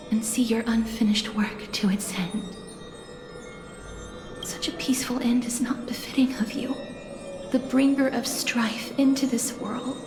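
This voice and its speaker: An actress playing a gentle, solemn young woman who guides the dying, speaking softly and politely in a video game drama. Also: on the high side. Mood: quiet resolve. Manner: slow, measured, polite. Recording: echoey, over background music.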